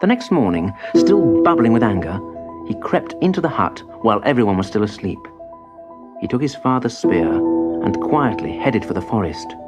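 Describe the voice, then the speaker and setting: A man's voice: on the low side, sing-song, moderate pace. A man narrating a children's story expressively, on an old cassette transfer. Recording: dull cassette transfer with music behind. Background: instrumental music.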